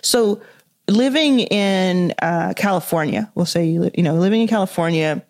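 A woman's voice: lowish voice, normal speed, a little mellow. A woman hosting a podcast interview, thinking aloud in a casual, searching way with lots of "like" and "you know.". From Dallas, Texas, US. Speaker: podcast host.

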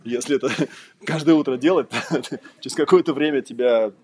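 A man's voice: mid-range voice, moderate pace, a little mellow. A man giving an informal lecture, conversational and rambling, telling anecdotes with a wry manner. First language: Russian. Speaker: trainer, lecturer.

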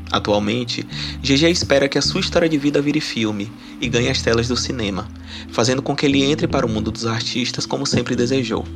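A man hosting a podcast, reading a measured scripted introduction. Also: medium pitch. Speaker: podcast host. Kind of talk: scripted narration. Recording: narration over a clear music bed.

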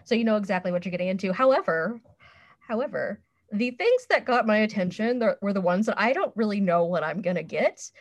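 A woman's voice: medium pitch, lively intonation, brisk. A woman on a podcast panel chatting casually in a candid, playful, self-deprecating way.

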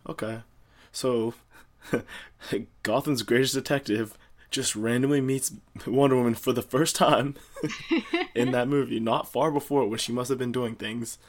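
A man's voice: medium pitch, unhurried. A man co-hosting a casual podcast about movies, joking wryly and sarcastically. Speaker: podcast co-host.

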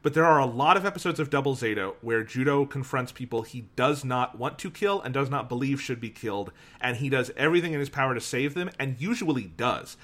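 A man co-hosting a casual review discussion, talking in an animated way and laughing as he tells a story. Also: mid-range voice, a little fast, hardly any pauses. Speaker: podcast co-host.

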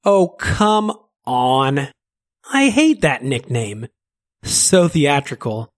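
A man's voice: medium pitch, big pitch swings, extremely slow. A man voicing a playful, mocking, scarred demon-like man in a spoken story. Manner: teasing, sarcastic.

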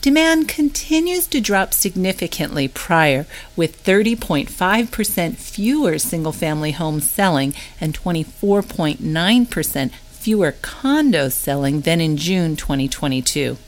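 Female narrator for a newsletter update, scripted read, on the lower side in pitch. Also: sing-song, a little slow, few pauses.

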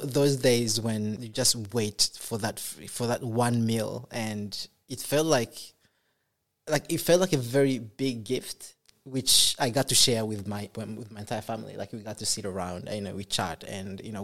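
A young man from Congo, a podcast guest, talking in a casual interview, soft-spoken and halting, with many fillers and restarts. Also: fairly low pitch.

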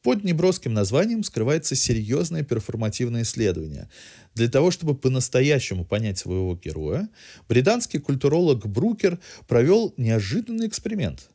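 A man's voice: mid-range voice, big pitch swings, normal speed, mellow. A man hosting a podcast, delivering a semi-scripted cultural review in erudite, literary phrasing.

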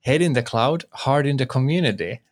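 A man's voice: medium pitch, expressive, brisk. A man co-hosting a tech podcast who reads scripted introductions and asks questions formally. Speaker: podcast co-host.

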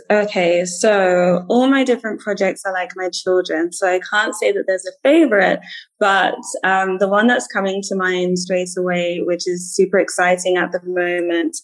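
Ghanaian British woman, podcast guest, earnest and lively, casual conversational delivery. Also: lowish voice, poor audio. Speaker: podcast guest, healer and entrepreneur. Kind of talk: casual interview talk.

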